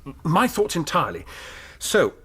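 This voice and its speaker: Earnest, awkward young English gentleman, higher-voiced. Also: huge pitch swings, moderate pace, breathy edge, heavily acted. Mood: shy, hesitant. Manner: hesitant, apologetic, polite, well-spoken. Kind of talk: radio drama acting.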